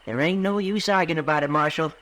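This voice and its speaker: A bitter, stubborn young man of the frontier, lovesick and defiant. His voice is fairly high, in the manner of an old 1950s radio western. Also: fast, pushed and bright. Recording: vintage radio drama recording.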